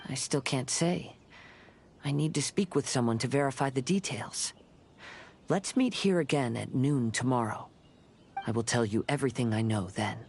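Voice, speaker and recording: A man playing a gentle young wandering swordsman in a video game, soft-spoken, reflective and formal, with music behind. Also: medium pitch, moderate pace, slight background noise, close to an everyday voice. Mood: hushed, resolute. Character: gentle young male wandering swordsman of fallen noble family.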